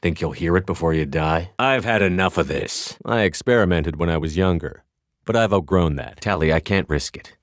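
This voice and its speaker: Guy acting a tough space soldier hero for a video game, deep voice, blunt and commanding, super dry studio sound. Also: normal speed, no echo, close to an everyday voice. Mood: calm, low. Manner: firm, clipped, authoritative delivery.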